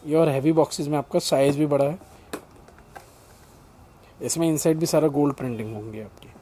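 A middle-aged male salesman gives a brisk, polite product pitch and calls his customer sir. His voice is slightly high, with a soft, mellow tone. Faint noise hangs in the small indoor shop around him. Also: steady tone.

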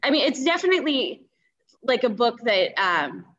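A woman's voice: on the high side, big pitch swings, normal speed, a bit bright, dull audio. A young woman in a casual book club discussion on a video call, hesitantly feeling for her words and often saying "you know.". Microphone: video call computer mic.

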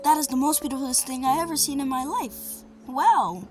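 A woman's voice: high-pitched, moderate pace. A woman doing a casual, slangy comedic fan voice-over for a cartoon. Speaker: amateur cartoon voice dubber. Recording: loud music over the voice. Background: loud music.

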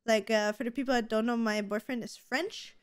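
A young woman with a German accent chats casually as a podcast co-host in a gaming room lit with colorful LEDs. Her voice sits a little high, and she talks in an animated way, stringing thoughts together with plenty of "like" fillers. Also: fairly quick, smooth. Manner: chatty, animated, frequent "like" fillers. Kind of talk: casual chat.

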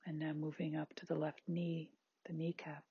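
A meditation guide with a husky, breathy, androgynous voice, giving gentle, unhurried body scan instructions in short spaced phrases. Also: medium pitch, monotone, no echo, lo-fi sound. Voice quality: very husky, breathy, at times near-whispered.

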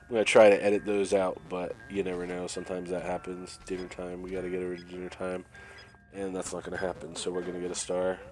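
A man talks through a prison video game in a low, flat voice that stays close to one note. A cold has left him raspy and nasal. Quiet game music plays underneath. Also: fast talker. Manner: relaxed, thinking aloud while playing. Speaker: gameplay commentator. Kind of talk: casual let's-play commentary. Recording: faint game music behind.

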